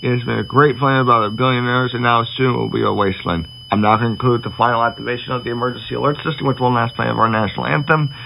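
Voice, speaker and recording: A grave official spokesman played by a man delivers a doomsday broadcast in an amateur radio drama. The voice is creaky and sharp-edged, halting and repeating itself, over a faint hum. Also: medium pitch, steady tone, poor audio. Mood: despairing farewell. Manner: halting, stumbling, repeats phrases. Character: grave male presidential representative announcing the world's end. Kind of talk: improvised emergency broadcast address. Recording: hum and faint background noise.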